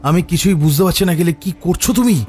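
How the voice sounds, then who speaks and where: Casting: actor as hesitant, uneasy male adventurer, slightly high voice, horror audio story. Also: fast talker, darkish tone, soft background music, lightly acted. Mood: puzzled. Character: uneasy male explorer, companion in a dark temple. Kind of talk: dramatic audio story acting.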